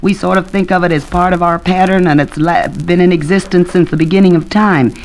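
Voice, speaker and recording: A woman with a fairly low voice and an American accent speaks as a learned guest on a 1940s radio panel. She explains her points like a lecturer, working through examples in a studio discussion. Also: steady tone, fairly quick, a little mellow. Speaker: expert panelist, academic doctor.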